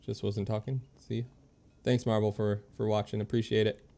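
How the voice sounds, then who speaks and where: Mellow, low-voiced man streaming a game. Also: level tone, moderate pace, vocal fry. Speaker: game livestreamer. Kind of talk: casual gameplay commentary.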